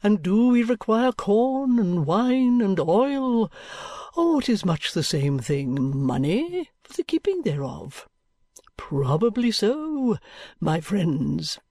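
A man's voice: high-pitched, big pitch swings, dark tone, no echo, studio quality, pitched above the performer's own voice, full character voice. A man doing an oily, preaching clergyman. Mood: unctuous. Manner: sanctimonious, drawn-out rhetorical questions. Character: oily pompous male preacher.

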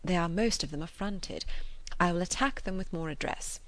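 Woman reading as a lively, impudent young gentleman. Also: lowish voice, normal speed, no room sound, natural voice. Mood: conspiratorial. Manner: teasing, theatrical, mock-formal.

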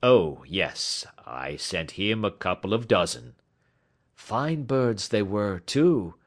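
Man voicing a gruff, blustering market salesman. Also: on the low side, lively intonation, takes it slowly. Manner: blustering, snappish, emphatic. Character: gruff, hot-tempered cocksure market poultry salesman. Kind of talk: audiobook character dialogue.

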